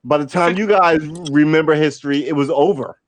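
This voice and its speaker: A man's voice: a little high, fairly quick, a little hiss or hum. Blunt, cussing man in his fifties. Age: 50s. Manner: blunt, swears casually, argues his point. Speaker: live stream host. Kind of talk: casual late-night group chat.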